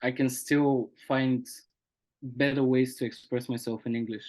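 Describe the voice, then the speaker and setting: Young male guest from Bulgaria, Bulgarian native, mid-pitched voice, reflective and earnest in interview. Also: little pitch movement, measured pace, darkish tone. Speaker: guest poet. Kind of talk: interview answers.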